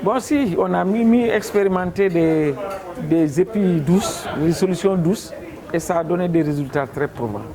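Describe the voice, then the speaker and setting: Male interviewee, Senegalese accent, fairly high pitch. Unscripted, halting, informal delivery amid busy crowd noise. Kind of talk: spontaneous interview remarks. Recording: busy crowd chatter behind voice.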